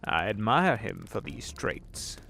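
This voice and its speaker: A man's voice: fairly low pitch, sing-song, slow pace, vocal fry, sharp tone, slight background noise, exaggerated voice. A man playing an urbane, sly old devil in an audio drama, wordy and courteous, savoring his phrases. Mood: musing, admiring. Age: older.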